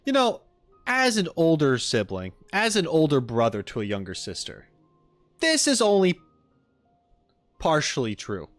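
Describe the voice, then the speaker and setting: A male streamer gives casual reaction commentary with a lively, mid-pitched voice. He jokes in a mock-exasperated way, with faint music behind him. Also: a little slow, long pauses. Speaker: streamer reacting to game voice lines.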